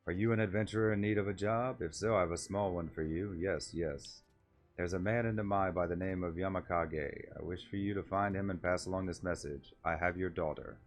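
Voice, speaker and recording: Man as a low-voiced, sly fish creature. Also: fairly even intonation, brisk, faint music behind, lightly acted. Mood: sly, businesslike. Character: businesslike, sly catfish-like creature handing out errands. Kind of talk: voiced reading of game dialogue.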